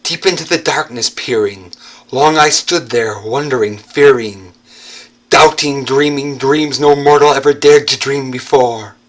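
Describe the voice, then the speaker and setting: A young man giving a theatrical, brooding recitation of gothic horror poetry, moving between hushed and loud delivery. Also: medium pitch, measured pace. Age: young adult. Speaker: performer reciting a poem. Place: furnished basement living room.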